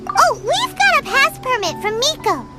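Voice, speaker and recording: Casting: chatty little female fairy sidekick in a video game, squeaky kid-like tone, very animated delivery. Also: moderate pace. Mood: chirpy, curious. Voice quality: squeaky, childlike. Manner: refers to self in third person, exclamatory. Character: tiny childlike female fairy companion, chatty and excitable. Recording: music behind the voice. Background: game music.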